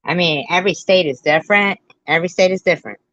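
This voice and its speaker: A woman's voice: fairly low pitch, quick pace, a bit bright, dry recording, highs cut off. A woman in her fifties hosting a reaction commentary, blunt and sarcastic, often repeating herself and swearing. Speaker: commentary host.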